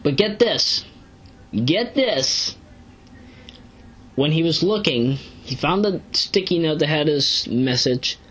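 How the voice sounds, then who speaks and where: Lowish voiced woman doing a casual review, rambles and backtracks a lot, pitch jumps around, kinda muffled audio. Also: animated, a bit bright, slightly rough. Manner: rambling, halting, self-correcting. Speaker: online video reviewer and narrator. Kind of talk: casual unscripted commentary recapping a web series.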